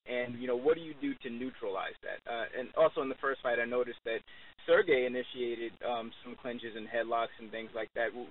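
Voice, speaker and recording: A man working as a boxing reporter, asking long, hesitant questions on a press call. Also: mid-range voice. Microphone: phone call. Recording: hissy, narrowband phone conference line.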